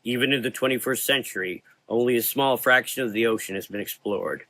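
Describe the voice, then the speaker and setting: A man's voice: medium pitch, bright, forceful tone, rough recording. Man playing a weary, brooding occult hunter. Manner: measured, ominous, formal. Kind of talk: gothic horror audio drama dialogue.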